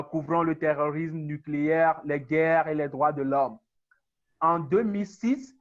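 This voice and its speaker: A man's voice: fairly high pitch, muffled recording. A man moderating a webinar, formally reading out a guest speaker's introduction. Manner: formal, reads steadily.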